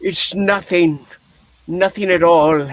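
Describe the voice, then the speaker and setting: A performer reading the part of a frightened, wistful old miser in a theatrical play reading, with halting, dramatic delivery. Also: medium pitch, fairly even intonation, dry recording, lightly acted. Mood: wistful, regretful.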